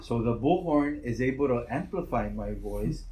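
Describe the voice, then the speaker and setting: A middle-aged man with a naturally loud voice, a school principal answering interview questions in a relaxed, rambling way. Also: medium pitch, moderate pace, a little hiss or hum, low-quality recording.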